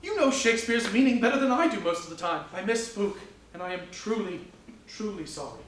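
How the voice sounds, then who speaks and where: A man's voice: high-pitched, expressive, a little fast, fairly bright tone. A man playing an earnest, contrite radio actor and husband in a staged reading. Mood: remorseful. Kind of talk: stage acting. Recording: very echoey hall, distant mic, faint noise.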